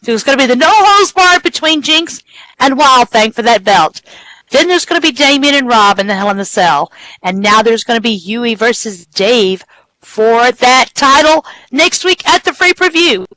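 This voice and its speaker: A commentator doing lively play-by-play for a wrestling show who counts pins aloud and signs off the broadcast. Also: mid-range voice, normal speed, no echo, low-quality recording.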